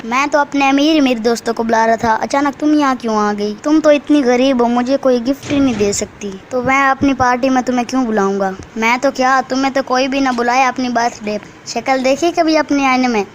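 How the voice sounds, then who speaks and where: A woman's voice: sits high, normal speed, a little hiss or hum, lightly acted. A woman voicing a boastful, spoiled young boy sparrow in a children's cartoon, showy and snobbish. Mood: scornful.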